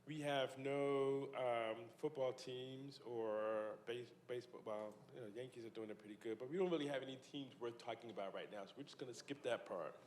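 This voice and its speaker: A man from New York, a guest at a live interview, telling his life story in a relaxed, joking way. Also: mid-range voice, fairly quick, hardly any pauses, slight background noise. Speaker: guest speaker, entrepreneur. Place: event space with a small audience. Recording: roomy live venue.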